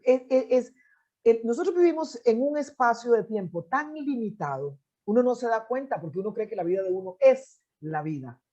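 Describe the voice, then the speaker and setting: Middle-aged Costa Rican woman, interview guest; mellow, reflective storyteller, slightly muffled lo-fi audio. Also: a little high, expressive, normal speed. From Costa Rica. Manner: reflective, frequent restarts and filler "este". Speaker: interview guest, anthropologist and poet. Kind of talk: conversational storytelling.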